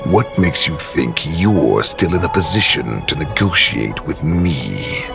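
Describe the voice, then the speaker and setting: A gravelly, menacing wolf-beast warlord. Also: male voice, rumbling low, very animated, heavily acted. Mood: taunting. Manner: slow, taunting, threatening. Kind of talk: video game cutscene acting. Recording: game cutscene with music behind.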